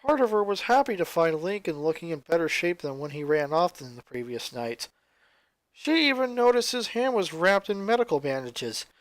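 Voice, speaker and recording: A man's voice: high voice, dry recording. A man reading fan fiction as a dramatic, scripted story narration.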